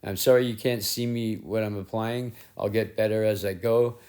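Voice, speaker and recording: A middle-aged man with a rough, raspy voice that sits somewhat low. He talks casually and haltingly, restarting words and poking fun at himself. It sounds like a painter narrating his own studio work through a head-mounted camera mic. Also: fairly even intonation, darkish tone. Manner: rambling, self-deprecating, halting with restarts. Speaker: collage artist and painter. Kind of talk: casual narration while working. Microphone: head-mounted action camera.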